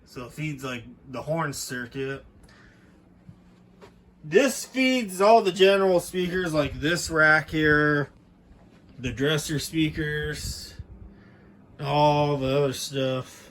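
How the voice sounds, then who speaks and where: Man, slightly high voice, casual vlog narration, chatty hobbyist walk-through, handheld camera indoors. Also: slow pace, long pauses, fairly bright tone. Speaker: hobbyist video maker. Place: indoors in a room at home.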